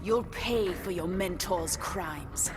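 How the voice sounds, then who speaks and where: A woman's voice: on the low side, slow pace. A woman playing a fierce, vengeful half-beast cat huntress in a video game, menacing and taunting in manner. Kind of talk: dramatic video game acting. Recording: game audio over loud music.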